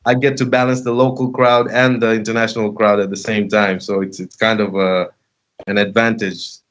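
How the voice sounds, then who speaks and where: A man's voice: fairly low pitch, level tone, moderate pace, a bit bright. A man in his twenties from Tanzania, a bilingual rapper and native Sinhalese and Swahili speaker, talking casually as an interview guest. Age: 20s.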